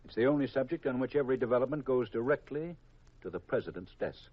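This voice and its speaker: An actor with an American accent playing a formal, guarded counterintelligence colonel in 1950s radio drama style, measured and grave. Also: male voice, medium pitch, expressive, slightly rough. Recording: dull old radio recording.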